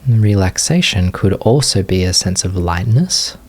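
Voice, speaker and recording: A man leading a guided meditation as a teacher, giving unscripted, gentle instruction in short phrases with long pauses. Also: deep voice, animated.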